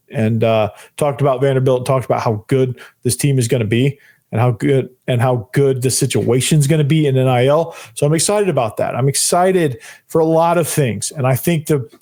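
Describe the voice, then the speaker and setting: A young man hosts his own sports podcast with a hoarse, worn-out voice that sounds close to giving out. He talks in an excited, rambling way, circling back over the same phrases and dropping "man" at the ends of sentences. His pitch sits in an ordinary middle range. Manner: fired-up, rambling, repeats phrases, says "man" often. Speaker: podcast host. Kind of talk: solo sports podcast talk.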